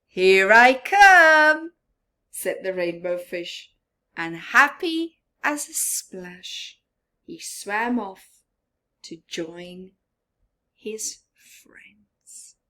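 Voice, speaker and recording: A woman reading a children's storybook aloud expressively, with chatty asides and often hushed tones. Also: fairly low pitch, lively intonation, extremely slow. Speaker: storyteller, teacher-like reader.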